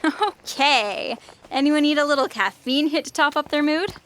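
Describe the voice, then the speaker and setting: A woman performs a high-voiced little bird that is secretly a self-destruct program. It sounds perky and needy with a threat underneath, as comic sci-fi audio drama. The recording has clear noise. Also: moderate pace, a bit bright. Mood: chirpy.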